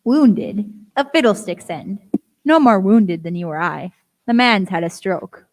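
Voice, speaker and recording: A woman acts out a male country doctor for a radio play. The pitch is middling. He is brusque and blunt, scolding with stern, no-nonsense authority. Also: animated, measured pace.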